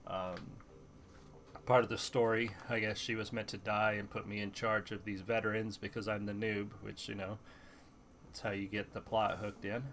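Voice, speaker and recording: Stuffy, slightly hoarse gaming host. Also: male voice, fairly low pitch, normal speed, a little hiss or hum. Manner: rambling, relaxed, many ums. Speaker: let's play host. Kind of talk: casual gameplay commentary. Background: faint game music.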